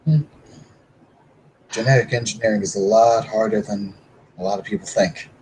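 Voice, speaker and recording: Calm, reasoning werewolf man, played by a man, lowish voice, faint hiss behind. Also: lightly acted, low-quality recording. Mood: musing. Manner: measured, muses with hums and pauses. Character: male werewolf warrior, measured and reasoning. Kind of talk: tabletop roleplay in character.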